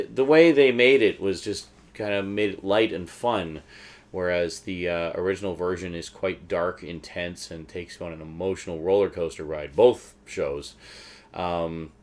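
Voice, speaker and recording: A middle-aged man hosting a casual collector's vlog, talking conversationally and haltingly as he thinks aloud. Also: sits low. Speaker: host of a collector's show.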